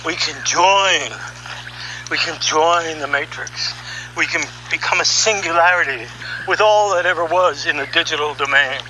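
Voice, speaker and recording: Sharp, bright-voiced man riffing sardonically. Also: a little high, moderate pace, a little hiss or hum, rough recording. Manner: animated, sardonic, conversational with rhetorical questions. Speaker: podcast host and audio artist. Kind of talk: opinionated monologue, reading article excerpts aloud.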